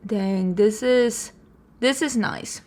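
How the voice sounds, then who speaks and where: A woman's voice: mid-range voice, slow pace, darkish tone. A woman from Sweden with a Swedish accent, chatting casually through a makeup tutorial in a self-deprecating, laughing way. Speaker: beauty vlogger.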